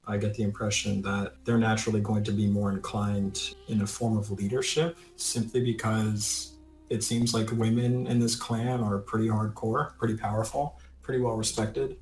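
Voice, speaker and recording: A man's voice: on the low side, level tone, normal speed, darkish tone, a little hiss or hum. A man playing a tabletop role-playing game, casually thinking aloud about his character. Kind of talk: casual improvised character discussion. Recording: roomy echo on the voice. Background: faint music.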